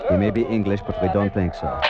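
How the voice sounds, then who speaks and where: Actor as a low, terse French spy contact. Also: male voice, fast, close to an everyday voice. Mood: terse, secretive. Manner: terse, clipped phrases. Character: French male intelligence contact, terse and secretive. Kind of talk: 1940s radio drama acting. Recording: muffled old radio recording, loud music.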